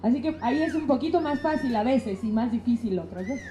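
A woman with a Mexico City accent chats casually as an interviewed musician. Her voice is high and very soft-edged, caught in an echoey, muffled room. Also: lively intonation, fairly quick, a little hiss or hum. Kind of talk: casual conversational explaining.

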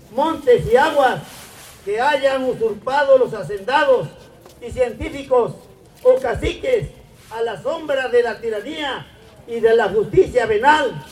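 An older Mexican man reading a letter aloud as a solemn, pleading public oration, declaiming in short phrases with deliberate pauses. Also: very high voice, a little hiss or hum. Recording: voice far from the microphone.

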